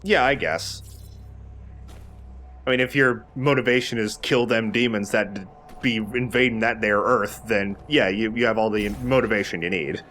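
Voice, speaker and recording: A man's voice: fairly low pitch, fairly even intonation, normal speed, a bit bright, slight background noise. A man giving casual gameplay commentary as the host of a let's play.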